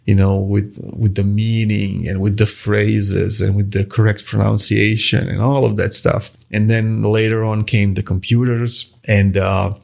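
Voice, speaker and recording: Gravelly, husky Croatian man. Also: lowish voice, flat delivery, a little slow, few pauses, a little mellow. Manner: hesitant, many restarts and fillers. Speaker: guest, watch face designer. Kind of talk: casual interview answers.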